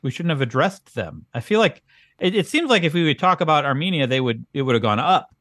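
A man's voice: on the high side, lively intonation, brisk, fairly bright tone, dry recording, clean studio sound. A man co-hosting a comedy podcast, playing the skeptical straight man who corrects his partner. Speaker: podcast co-host. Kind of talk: casual comedic banter.

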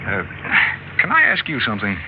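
An actor with an American accent playing a wry, easygoing suburban husband in a 1960s radio drama. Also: male voice, mid-range voice, wildly swooping pitch, a little fast. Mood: wry, amused. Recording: muffled, noisy old radio recording.

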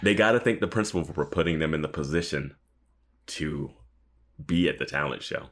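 A man co-hosting a podcast in casual conversation about a TV show, speaking in an animated, exclamatory way. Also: rumbling low, fairly quick. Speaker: podcast co-host.

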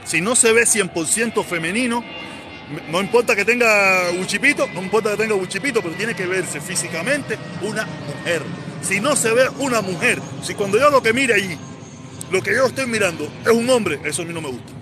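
A man's voice: high voice, expressive, brisk, music in the background. Cuban man in his forties, rambling. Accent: Cuban, Havana. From Cuba. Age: 40s. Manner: rambling, repeats himself, answers viewer comments. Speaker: live streamer. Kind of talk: casual live stream chat.

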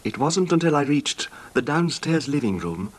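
An actor playing an ailing, betrayed husband who recounts his grievance in halting, formal radio drama style. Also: a little high, big pitch swings. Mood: pained, brooding. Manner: halting, formal, genteel delivery. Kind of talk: old-time radio drama acting, narrated recollection. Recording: noisy old radio drama recording.

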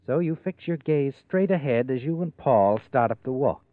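A man's voice: mid-range voice, expressive, moderate pace, no echo, close to an everyday voice. An actor playing an ominous, accusing male narrator, insinuating and menacing, in old-time radio suspense style. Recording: muffled vintage radio recording, narrow band. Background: dramatic instrumental music.

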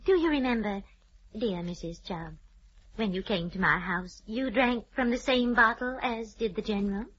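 Sweetly genteel society lady, breathy rasp, dry sound, vintage radio drama. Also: medium pitch, moderate pace, fairly bright tone, dry recording. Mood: gently insistent. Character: genteel society lady suspected of poisoning, soft-spoken and sweetly poised. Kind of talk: old-time radio drama acting. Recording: muffled old radio recording.

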